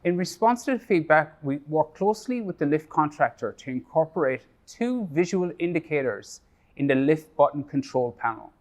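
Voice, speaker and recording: A man with a slightly raised pitch gives a scripted project update. He reads prepared remarks in a steady, measured way. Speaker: project staff presenter. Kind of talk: scripted presentation.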